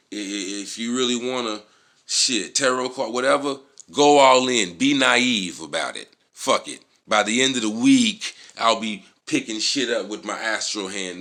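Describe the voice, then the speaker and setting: A man's voice: fairly low pitch, sharp tone. An American man giving a casual motivational vlog talk, emphatic and slangy, swearing freely and repeating key words. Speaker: vlogger.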